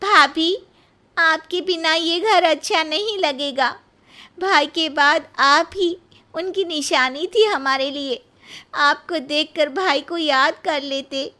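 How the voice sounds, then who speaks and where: A woman's voice: sits high, slow, bright, forceful tone, pitched above the performer's own voice, heavily acted. A woman voicing a sad, clinging young sister-in-law in a moral story, sounding plaintive. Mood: sorrowful. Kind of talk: animated moral-story acting.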